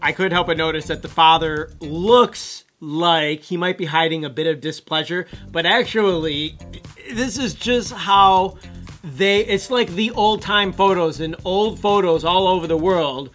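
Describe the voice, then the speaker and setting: A man's voice: sits high, measured pace, fairly bright tone, soft background music. A man co-hosting a casual commentary show, musing aloud and offering his opinions on photos.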